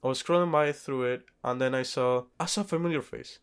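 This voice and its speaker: A man's voice: medium pitch, fairly quick, clean tone. A man co-hosting a podcast, talking casually about movies in an informal, sweary, self-correcting way. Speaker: podcast co-host. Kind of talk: casual movie review chat.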